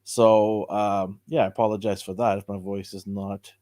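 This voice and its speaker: Male podcast host, hoarse, upbeat, thanks the viewers, dry close sound. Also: fairly low pitch, little pitch movement, normal speed, mellow, no room sound. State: hoarse from cheering. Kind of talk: casual live-stream chat.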